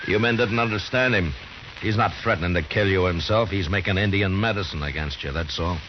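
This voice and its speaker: An actor playing a calm, stern frontier marshal in a radio western, measured and firm. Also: male voice, sits low, natural voice. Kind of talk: 1950s radio western acting. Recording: hissy, muffled old radio recording.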